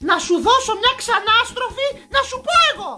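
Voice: extremely high pitch, sharp tone. A performer voicing a nagging, melodramatic Greek mother in a comic recital, exasperated and full of theatrical guilt-tripping. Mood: nagging, threatening. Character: Voulitsa, shrill melodramatic scolding Greek mother character. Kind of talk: comic recital of stock parental catchphrases.

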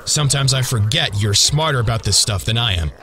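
An actor playing a wisecracking, earnest young married superhero in a motion comic dub, with a quippy delivery. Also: male voice, mid-range voice, brisk, close to an everyday voice. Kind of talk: motion comic dub with first-person narration. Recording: loud music under the voice.

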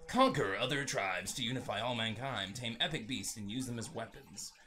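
A man's voice: mid-range voice, normal speed, bright, forceful tone, faint music behind. A man hosting a gaming video, giving casual, joking let's-play commentary with rambling, sarcastic asides.

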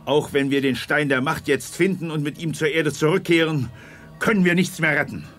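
Man playing an impatient general, raised pitch. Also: fairly even intonation, moderate pace, fairly bright tone, faint music behind, natural voice. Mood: gloomy, frustrated. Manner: impatient, commanding. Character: hot-tempered, gruff, gloomy army general in space. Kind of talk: 1980s sci-fi audio drama acting.

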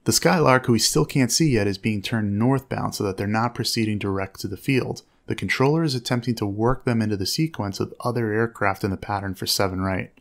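A man giving measured, instructive commentary on air traffic audio as a narrator. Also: lowish voice.

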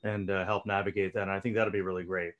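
A man interviewing guests over a video call, casual and relaxed, with many fillers. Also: low-pitched, fast talker, dull audio, crowd noise. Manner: relaxed, filler-heavy moderating.

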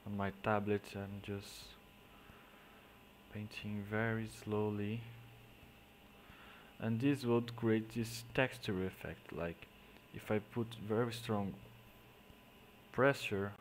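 Slow-spoken man with a Portuguese accent. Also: fairly low pitch, steady tone, slight background noise, low-quality recording. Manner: halting, searching for words, trailing into quiet mutters while painting. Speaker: digital painting tutor. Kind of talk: screen-recorded painting tutorial.

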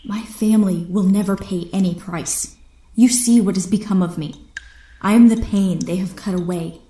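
A woman's voice: medium pitch, moderate pace, dark tone, close to an everyday voice. An actress playing a defiant, disgraced air officer in an audio drama, solemn and formal in her speech. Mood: defiant, weary. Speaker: voice actor. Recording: echoey space with faint noise.

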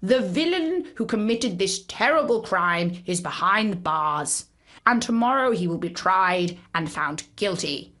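A woman's voice: mid-range voice, expressive, measured pace, a bit bright. A woman voicing a pompous, self-satisfied male mayor making grand statements in a children's story.

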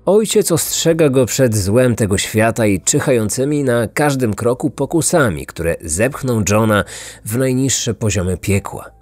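A man hosting a podcast, reading scripted true-crime narration like a measured storyteller. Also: mid-range voice, animated, darkish tone, dry recording. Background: faint music.

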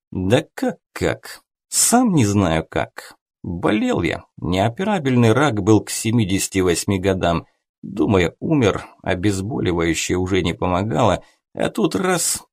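A male audiobook narrator with a somewhat low-pitched voice reads prose fiction. The delivery is scripted storytelling, told in the first person. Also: a little mellow.

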